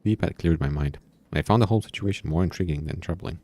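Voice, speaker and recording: A man's voice: sits low, fast talker, warm tone. A man reading a visual novel aloud as a streamer, with casual asides, in a somewhat strained, tired voice. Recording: background music.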